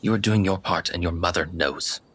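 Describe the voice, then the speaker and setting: A man's voice: sits low, brisk, a bit bright, breathy edge. A man voicing a stern but caring woman warrior mentor in a tabletop game, with commanding, solemn encouragement.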